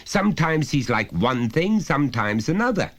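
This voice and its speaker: A man's voice: a little high, very animated, moderate pace, voice pushed up. A man voicing a stern, pompous, overbearing father who lectures insistently in a storybook reading. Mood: stern lecturing.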